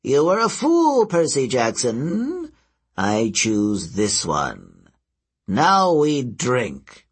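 A man voicing a wicked, gloating blind old male seer king with a husky, drawn-out delivery. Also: wildly swooping pitch, full character voice. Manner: slow, sneering, swings wildly in pitch.

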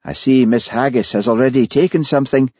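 A man acting a steady, plain-spoken male village police sergeant in an audiobook, somewhat formal. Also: medium pitch, brisk, dry recording, lo-fi sound, voice pushed up, natural voice. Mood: observant. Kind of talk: audiobook character voice.

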